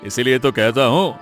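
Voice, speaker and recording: A man's voice: medium pitch, a bit bright, exaggerated voice. A man voicing a spiteful, boastful village businessman who gloats, in a Hindi moral-story cartoon.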